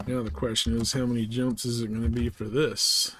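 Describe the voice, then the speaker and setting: A man's voice: mid-range voice, flat delivery, brisk, fairly bright tone, a little hiss or hum. A man chatting casually and in a laid-back way while streaming a space game live.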